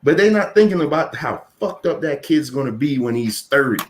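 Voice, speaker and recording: A man's voice: fairly high pitch, lively intonation, moderate pace, a little hiss or hum. A man in his thirties giving blunt opinions in a casual panel chat, often repeating words as he starts a point. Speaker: podcast panelist.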